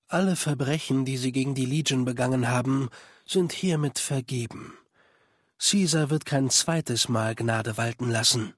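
Video game spymaster: cold, measured, superior, mid-pitched with a husky edge, dry close sound. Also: male voice, no room sound. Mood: formal, commanding. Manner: formal, measured, condescending. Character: cold, calculating male spymaster of a slaver legion. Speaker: game character voice actor. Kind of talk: dubbed video game dialogue.

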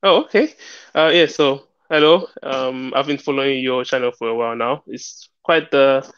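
A man's voice: medium pitch, moderate pace, a bit bright, clean tone, no room sound. A young man with a Nigerian accent, calling in to a livestream and explaining things in a casual, chatty way. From southern Nigeria. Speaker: caller on a livestream. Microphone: headset boom mic. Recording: slightly muffled video-call headset audio.

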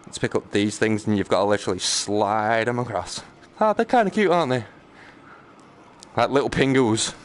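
A man's voice: lowish voice, moderate pace. A man giving casual live game commentary, muttering and exclaiming to himself in a jokey, self-deprecating way. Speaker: gaming streamer. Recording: noticeable background noise, game ambience.

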